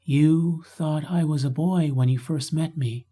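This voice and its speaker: A man plays a tender young storybook heroine in a raised, mellow voice. She speaks earnestly and vulnerably, with shy curiosity. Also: fairly high pitch, a little slow, pitched above the performer's own voice. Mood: tender, vulnerable.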